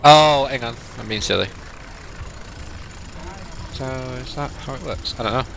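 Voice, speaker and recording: A man's voice: medium pitch, unhurried, gravelly, a bit bright, a little hiss or hum. Lancashire man streaming, nasal with a cold. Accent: northern English, Lancashire. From England. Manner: chatty and rambling, reads chat aloud. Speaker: game streamer. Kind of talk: casual live-stream chat with viewers.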